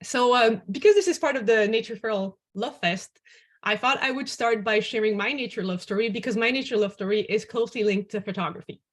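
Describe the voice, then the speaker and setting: A woman's voice: fairly high pitch, fairly quick. A woman hosting an online dialogue, giving a prepared presentation. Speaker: host and moderator.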